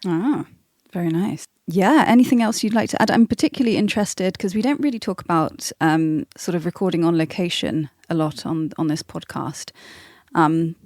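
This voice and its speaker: A woman with a slightly low voice hosts an interview in a casual manner. The sound is very dry, with no room echo around her. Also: moderate pace, a little mellow. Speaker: podcast host.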